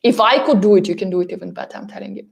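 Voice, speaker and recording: A woman with a Russian accent speaks as a relocation coach in a motivational style. Her voice sits at an average pitch for a woman. She addresses listeners directly and emphatically, repeating key phrases to drive them home. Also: very fast, creaky. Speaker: relocation coach and course host. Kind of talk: motivational coaching talk to camera.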